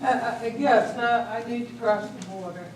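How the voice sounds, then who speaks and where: A woman's voice: fairly high pitch, moderate pace, a little mellow, natural voice. A woman on stage playing a polite, hesitant but insistent stranded traveller, pleading for help. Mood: polite, flustered. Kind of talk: amateur readers theater stage performance. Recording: echoey hall from far off, faint noise.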